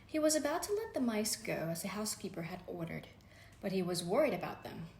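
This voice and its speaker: Woman narrator, lively pitch, bedtime storybook read in an echoey room with faint noise. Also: fairly quick. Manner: gentle, expressive reading with voices for characters. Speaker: storybook reader.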